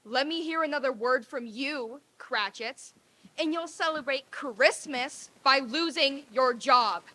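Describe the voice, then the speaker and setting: A woman's voice: sits high, unhurried, sharp tone. A woman playing a grumpy, miserly old businessman, curt and scornful, in a stage reading of a radio play. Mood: angry, dismissive.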